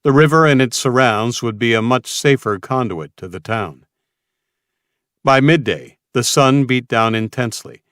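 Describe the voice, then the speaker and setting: A man's voice: mid-range voice, measured pace, fairly bright tone, no echo, studio quality. A man reading a scripted story as a dramatic audiobook narrator.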